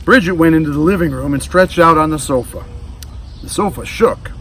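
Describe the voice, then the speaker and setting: A man's voice: on the high side, brisk, fairly bright tone, far from the mic, a little hiss or hum. A man reading a children's storybook aloud, adding casual asides to young listeners. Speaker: storybook reader. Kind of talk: children's read-aloud narration.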